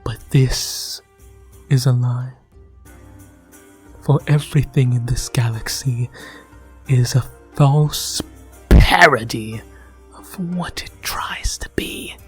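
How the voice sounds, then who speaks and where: A man acts as an ominous, grandiose chronicler of a dark fantasy universe, warning the listener of horrors. The delivery is extremely slow and melodramatic, often sinking toward hushed whispers. Music plays under this theatrical audio drama narration. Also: mid-range voice, close to an everyday voice. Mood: grave, knowing.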